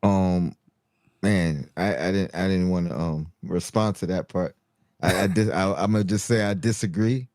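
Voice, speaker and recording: A man with a low, mellow voice talking basketball in a loose, halting way, full of restarts and fillers. The recording is dry and close. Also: fairly even intonation, no room sound. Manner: halting, many restarts and fillers, repeats "you know what I mean". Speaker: co-host, sports analyst. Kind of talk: casual sports talk.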